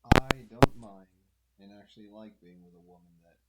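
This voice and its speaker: A man, the host's husband, speaking in a low-key, amused way. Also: moderate pace, a loud crowd, poor audio.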